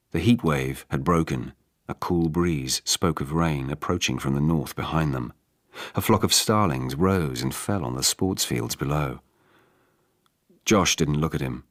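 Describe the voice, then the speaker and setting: Male audiobook narrator, extremely low voice, dry close sound, measured and restrained storytelling. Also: dry recording. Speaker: audiobook narrator reading an abridged novel for radio. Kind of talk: scripted literary narration.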